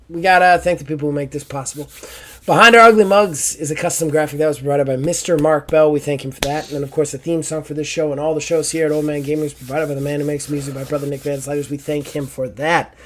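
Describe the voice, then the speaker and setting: A man hosting a casual podcast, hoarse and raspy after an illness, joking and swearing freely. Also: a little high. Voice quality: raspy, strained throat. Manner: joking, profane, self-mocking. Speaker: podcast host.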